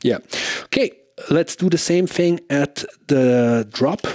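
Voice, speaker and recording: A man's voice: fairly high pitch, slow. Casual man demoing gear, guitar behind. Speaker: presenter of a guitar pedal comparison. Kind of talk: casual product demo commentary.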